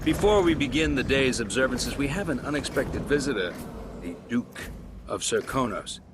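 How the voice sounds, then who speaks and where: A man's voice: mid-range voice, animated, moderate pace, very noisy, lightly acted. A man playing a formal court herald in a video game, making ceremonial announcements in a declamatory manner. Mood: ceremonious, proud. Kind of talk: dramatic game acting.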